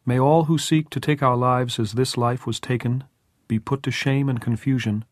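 A man playing a solemn mourner reciting scripture in precise, measured, pleading lines for an audiobook. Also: medium pitch, fairly even intonation, dry recording, clean studio sound, pitched above the performer's own voice, natural voice. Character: solemn man reciting a psalm over a grave.

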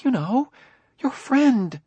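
Anxious wife for science fiction audiobook, voiced by a man: high, husky, fretful, pleading. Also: very animated, takes it slowly, warm tone, dry recording, heavily acted. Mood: worried. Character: worried, fretful wife of a detective.

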